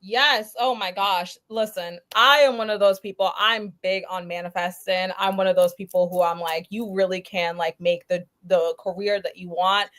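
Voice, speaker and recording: A woman's voice: medium pitch, fairly even intonation, moderate pace, few pauses, a bit bright. Chatty young woman from Guyana. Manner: chatty, many "like" fillers. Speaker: podcast guest. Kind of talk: casual chat.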